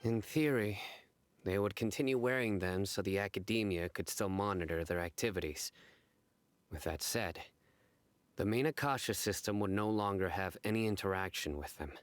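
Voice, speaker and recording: An actor playing a serious, measured young male investigator in a video game, speaking formally and deliberately. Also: fairly low pitch, no echo, lightly acted. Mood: measured, skeptical. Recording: plucked-string music behind the voice.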